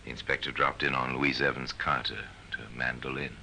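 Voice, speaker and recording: An actor playing a sardonic, portentous male narrator of an old-time radio crime drama, measured and wry. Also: level tone, pushed and bright, full character voice. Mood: wry, ominous. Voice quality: very deep, gravelly. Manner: measured, wry, ominous storytelling. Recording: noisy vintage radio recording.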